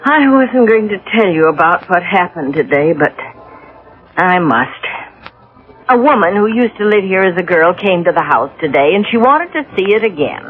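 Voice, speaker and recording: An actress playing a gentle, uneasy older housewife in a 1980s radio drama, speaking hesitantly. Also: female voice, on the low side, very animated. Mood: anxious, pleading. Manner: hesitant, halting. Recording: narrow-band vintage radio broadcast.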